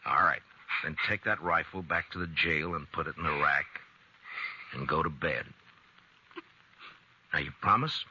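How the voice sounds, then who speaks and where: A steady frontier marshal in a 1950s radio western. His voice is low and penetrating, firm yet fatherly. The old recording is muffled. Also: a little slow, pauses often, husky, heavily acted. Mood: gentle. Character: steady frontier marshal, stern but compassionate.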